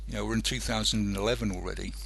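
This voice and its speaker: A male radio host with a low, creaky voice and a bright edge. He rambles casually and haltingly, often thinking aloud as he goes. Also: fairly even intonation.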